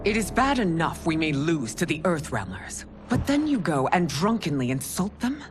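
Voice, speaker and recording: A regal empress, with a very low, expressive female voice. She is formal, stern and used to command, in a game cinematic over music. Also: moderate pace. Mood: stern rebuke. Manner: formal, authoritative. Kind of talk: video game cinematic acting.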